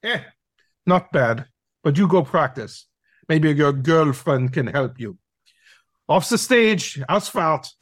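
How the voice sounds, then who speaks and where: Bossy canine drama teacher played by a man, fairly high male voice, slow delivery, mocking and brusque. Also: expressive, no echo. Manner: brusque, commanding, peppered with German words. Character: domineering, mocking male dog masterclass teacher. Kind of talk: audio play acting.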